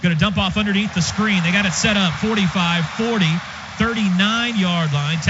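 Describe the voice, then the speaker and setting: A man's voice: high-pitched, normal speed. A man doing live play-by-play radio commentary on football in clipped running description. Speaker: play-by-play announcer. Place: stadium radio booth. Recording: dull radio broadcast, stadium noise behind. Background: clear crowd and stadium noise.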